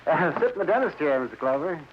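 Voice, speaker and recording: A man's voice: on the high side, big pitch swings, quick pace. An American actor playing a fussy, chatty, nervously affable male dentist in an old radio crime drama, hesitant and over-polite. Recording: dull, noisy vintage radio recording.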